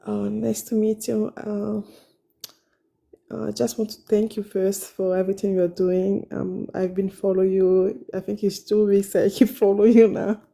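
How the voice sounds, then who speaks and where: Dark-voiced hesitant caller with Italian-French accent. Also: female voice, mid-range voice, flat delivery, normal speed, creaky. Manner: hesitant, searching for English words. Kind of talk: caller on a live stream.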